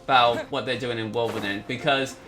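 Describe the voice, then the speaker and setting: A man hosting a casual game playthrough, talking in a halting way and often repeating his words. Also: mid-range voice, fairly even intonation, pushed and bright. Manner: halting, repetitive phrasing. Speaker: gaming video host. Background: game music.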